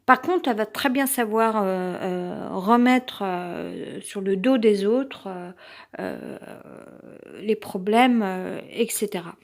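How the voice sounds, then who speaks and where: A woman's voice: mid-range voice, takes it slowly, gravelly. A woman with a French accent who has a cold, giving a rambling personal monologue as a vlogger, tense and hesitant, with many fillers and restarts.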